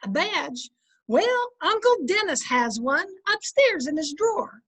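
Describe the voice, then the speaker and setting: A woman playing a patient middle-aged wife with dry wit, folksy and gently teasing, in 1950s radio comedy style. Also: very high voice, very animated, measured pace, full character voice.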